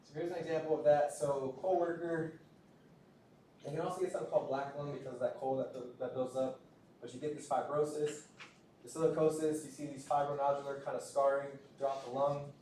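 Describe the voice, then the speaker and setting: Male lecturer, slightly high voice, casual and repetitive, recorded from afar in a very echoey room. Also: flat delivery, fairly quick, mellow. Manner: conversational, repeats words, frequent "right?" checks. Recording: roomy, distant lecture-hall recording with faint noise.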